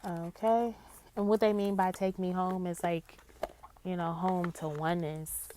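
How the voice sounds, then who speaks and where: A woman with a nasal voice, stuffed up from congestion, talks slowly and unhurriedly through a card reading. Her voice sits a little low, and she keeps tagging her thoughts with a soft "okay?" to the viewer. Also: steady tone, a little hiss or hum. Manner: slow and drawn-out, with frequent "okay?" check-ins. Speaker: psychic tarot reader. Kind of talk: casual spiritual card reading.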